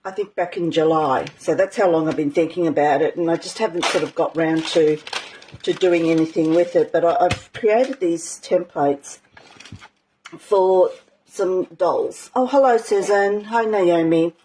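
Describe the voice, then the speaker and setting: Chatty woman with a mellow, croaky voice. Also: lowish voice, unhurried, slight background noise, rough recording. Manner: chatty, rambling with many ums. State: croaky, clearing her throat. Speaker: art journaling teacher. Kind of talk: casual live craft demonstration.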